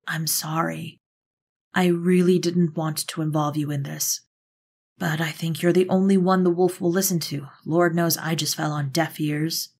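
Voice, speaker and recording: A woman acts out a persistent witch for an audio drama, with a lowish, raspy voice. She is earnest and persuasive, making her case in measured phrases. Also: level tone, a little mellow, heavily acted. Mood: pleading. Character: earnest, persistent female witch, pleading go-between. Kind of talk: audio roleplay drama acting.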